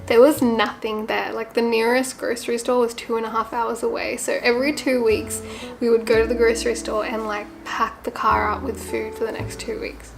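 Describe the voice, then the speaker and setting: Young Australian woman, creaky higher-pitched voice. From Sydney, Australia. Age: young adult. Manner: informal, conversational. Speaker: vlogger. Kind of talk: casual chat to camera, answering questions.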